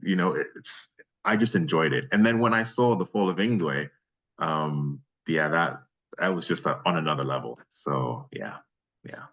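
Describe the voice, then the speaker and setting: A man with a deep bass voice, speaking casually and effusively as a voice actor being interviewed. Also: normal speed, fairly bright tone.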